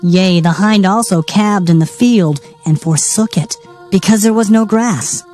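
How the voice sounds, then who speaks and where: A woman's voice: medium pitch, a little slow, darkish tone, faint music behind. A woman narrating dramatized scripture in a solemn, declamatory manner. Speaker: Bible narrator.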